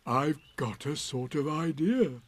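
Man as hesitant, slow-talking storybook bear. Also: on the high side, animated. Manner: halting, apologetic. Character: earnest, hesitant, simple-minded male bear.

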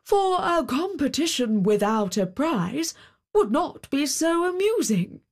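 Woman acting out a pompous, very slow-spoken king. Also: sits high, very animated, pitched above the performer's own voice, heavily acted. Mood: regal, pronouncing. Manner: pompous, deliberate proclaiming. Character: pompous king, a father judging a contest. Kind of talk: storybook character voice.

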